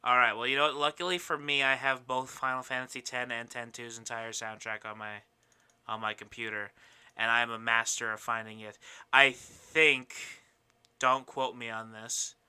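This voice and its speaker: A man chatting casually on a podcast panel, animated and bantering. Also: medium pitch, normal speed, clean tone. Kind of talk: casual chat, gaming debate.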